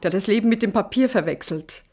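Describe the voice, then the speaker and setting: Town secretary played by a woman, animated mid-pitched voice, teasing and quick to contradict, TV drama. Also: natural voice. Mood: dismissive, exasperated. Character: teasing, sharp-tongued town secretary, the writer's lover.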